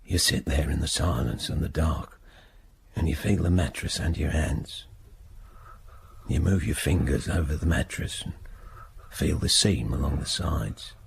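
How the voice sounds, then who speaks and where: A man playing a bitter, stubborn long-term prisoner in a radio drama, speaking in terse, brooding fragments with a low, gravelly voice. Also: measured pace, heavily acted. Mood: brooding, bleak. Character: long-serving male prisoner protesting his innocence, bitter, stubborn, brooding. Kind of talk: radio drama acting, intimate first-person monologue.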